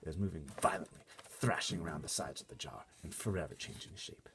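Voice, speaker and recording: Low, gravelly male storyteller. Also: fairly quick, fairly bright tone, a little hiss or hum. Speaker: storybook reader. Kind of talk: expressive read-aloud narration.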